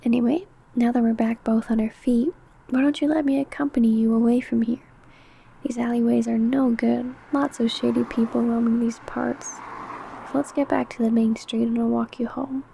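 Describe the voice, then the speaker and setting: Soft, high-voiced vampire woman, acted out by a woman. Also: fairly even intonation, moderate pace, a little hiss or hum. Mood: sweet, coaxing. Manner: soft, breathy, whispery murmuring. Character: ancient female vampire, obsessive, predatory, feigning sweet clumsy coworker. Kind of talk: ASMR roleplay acting.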